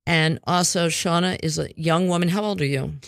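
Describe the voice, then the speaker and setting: A woman's voice: lowish voice, moderate pace, creaky, dry recording, clean studio sound. A woman hosting a casual community radio interview, rambling and chatty with offhand swearing. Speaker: radio show host.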